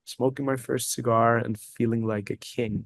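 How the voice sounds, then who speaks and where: A man's voice: medium pitch, level tone, moderate pace, dry recording. Reflective German-native man, dry sound. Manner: reflective, repeats words while searching for phrasing. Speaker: guest, cigar company executive. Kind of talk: casual conversational interview.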